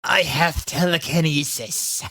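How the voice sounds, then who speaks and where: A man voicing a cruel, commanding dark wizard villain with archaic, domineering delivery in a story. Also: a little high, steady tone, a little slow, dry recording, pitched above the performer's own voice. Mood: menacing.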